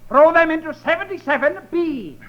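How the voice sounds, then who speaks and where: Shrill, blustering mad baron. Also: male voice, lively intonation, moderate pace. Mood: gleeful menace. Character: mad, blustering villain baron, absurd and scheming. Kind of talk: 1930s radio comedy acting. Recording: muffled, noisy 1938 radio broadcast.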